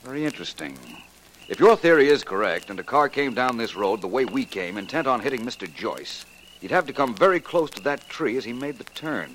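An actor playing a suave, shrewd, confident private detective in a 1940s radio drama, urbane and wryly courteous. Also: male voice, mid-range voice, lively intonation, brisk, a bit bright, close to an everyday voice. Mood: intent, musing. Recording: noisy vintage radio recording.

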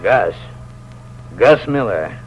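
An actor playing a blunt county sheriff in a 1940s radio drama, dry and deliberate, talking like an older hand to a younger man. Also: medium pitch, lively intonation. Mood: urgent coaxing. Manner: deliberate, dry, fatherly address. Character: slow, unhurried, dryly blunt male county sheriff. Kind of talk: 1940s radio crime acting. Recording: muffled old radio, faint hum.

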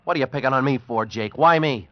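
A man's voice: mid-range voice, fast, no echo, lightly acted. An actor playing a desperate American man on the run, telling and acting out his ordeal intensely in an old radio drama. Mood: tired, urgent. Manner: intense, dramatic, agitated pleading. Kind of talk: 1940s-50s radio drama narration and acting. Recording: muffled old radio recording.

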